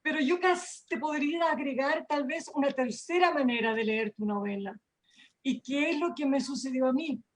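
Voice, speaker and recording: A woman's voice: high voice, fairly quick. A woman from Chile, making a personal comment in a conversational way. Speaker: audience commenter.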